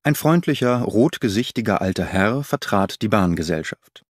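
A man reading scripted literary narration for an audiobook in a measured, precise way. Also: lowish voice, animated, dry recording, studio quality.

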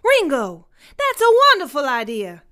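A cheery cartoon fish organizer, voiced by a woman, proper and brisk. Her voice is very high, bright and animated. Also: heavily acted. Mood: upbeat. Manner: proper, upbeat, businesslike. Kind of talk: cartoon audio drama acting.

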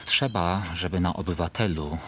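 A man's voice: low-pitched, normal speed, fairly bright tone, slight background noise. An actor playing a proud, tormented nobleman in a theatrical verse radio drama, grave and brooding. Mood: grim.

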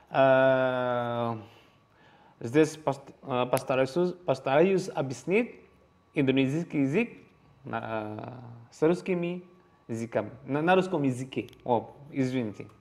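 A man with an Indonesian accent speaks as a teacher over slides in a second language. He hesitates often, restarts words and repeats each example slowly. His voice sits at an ordinary middle pitch. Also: darkish tone. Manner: hesitant, many fillers and restarts, repeats phrases. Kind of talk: slide-based language lesson.

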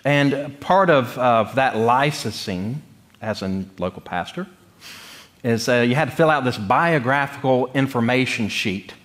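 A middle-aged man from North Carolina, preaching in a conversational, humorous storytelling way with deliberate pauses. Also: mid-range voice, fairly bright tone. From North Carolina, United States. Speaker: pastor. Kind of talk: sermon with personal storytelling. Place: church sanctuary, speaking from the pulpit.

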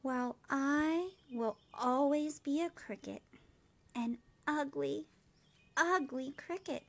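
A woman's voice: sits high, slow, pitched above the performer's own voice. A woman voicing a small, sulky, self-doubting young male cricket in a storybook, plaintive and defiant. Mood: sad, plaintive.

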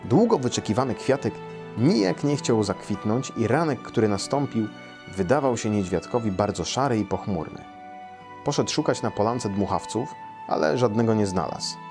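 A man's voice: on the low side, expressive, moderate pace, music in the background. A man around his late thirties or forties, speaking as a storyteller for children. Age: 30s to 40s. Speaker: storyteller and translator reading his translation. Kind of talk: children's story read aloud. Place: small quiet room indoors, seated in an armchair.

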